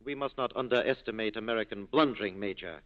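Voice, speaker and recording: An actor playing a courteous, politely cynical police captain in a 1940s radio drama. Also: male voice, fairly low pitch, fast talker, close to an everyday voice. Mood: dry. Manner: courteous, smooth-talking, dry. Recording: dull 1940s radio recording.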